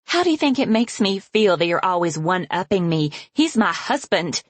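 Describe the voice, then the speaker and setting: Bitter, scornful mother, read by a female narrator: low, husky, snapping. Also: sing-song, moderate pace, no echo. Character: bitter, resentful middle-aged mother.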